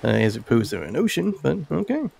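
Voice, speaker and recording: A man's voice: mid-range voice, wildly swooping pitch, fairly quick, gravelly, darkish tone, slight background noise. A man giving casual, tentative let's-play commentary, thinking aloud and reading on-screen text as he plays. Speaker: gaming video host. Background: game music.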